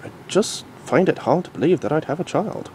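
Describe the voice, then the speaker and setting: Man acting a puzzled Time Lord, raised pitch. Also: expressive, darkish tone, slight background noise, close to an everyday voice. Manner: questioning, halting. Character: puzzled, earnest male Time Lord doctor, a pony.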